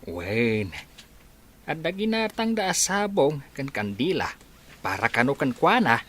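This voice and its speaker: A man playing an unhurried old grandfather in a radio drama, folksy and grumbling, with hems and grunts. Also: mid-range voice, very animated, fairly bright tone. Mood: explaining gently.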